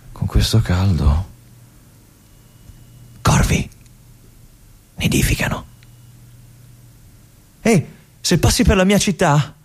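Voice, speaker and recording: A weary old-guard lieutenant, husky voice, pitch wandering widely, wistful radio-stage monologue. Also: male voice. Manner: reflective, with long pauses and soft whispered asides. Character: aging male army lieutenant, lonely, wistful, resigned. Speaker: stage actor.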